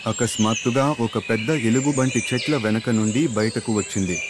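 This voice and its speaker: Male storyteller for a kids' moral tale, mid-range pitch, heavy bird and nature sound behind. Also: moderate pace, very noisy. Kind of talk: scripted children's story narration in Telugu. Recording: loud birdsong and forest ambience behind. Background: chirping birds, outdoor nature sounds.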